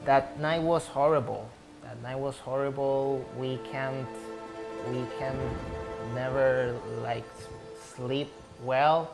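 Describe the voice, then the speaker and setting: Slow-spoken young Puerto Rican man. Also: medium pitch, fairly even intonation, creaky, music in the background, poor audio. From Puerto Rico. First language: Spanish. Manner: slow, searching for words.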